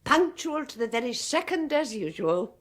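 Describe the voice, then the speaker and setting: An actress playing a timid, flustered older spinster sister who answers in a nervous, eager-to-please way in a radio play. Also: female voice, fairly high pitch, very animated, normal speed.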